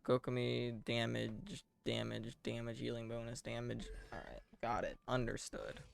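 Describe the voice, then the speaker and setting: A man giving casual live game commentary in a deadpan, self-deprecating way, muttering asides to himself. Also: mid-range voice, a little slow, gravelly, a little hiss or hum. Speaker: game streamer.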